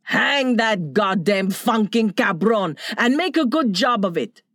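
A woman's voice: on the low side, very animated, slow pace, no echo, clean studio sound. A woman voicing a fierce, commanding female leader who curses with scorn in an audiobook story. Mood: furious. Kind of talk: audiobook character reading.

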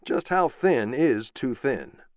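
A man's voice: mid-range voice, expressive, takes it slowly, a little mellow, dry recording, studio quality, voice pushed up. A man voicing a television news anchor who reads in a formal newsreader cadence.

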